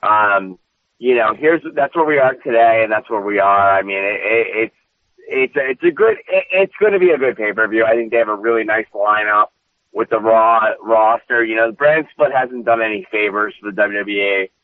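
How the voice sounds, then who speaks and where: A high-energy man with a booming voice, co-hosting a casual wrestling recap and swearing freely. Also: moderate pace, no room sound. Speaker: podcast co-host. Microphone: phone call. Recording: dry tinny phone line.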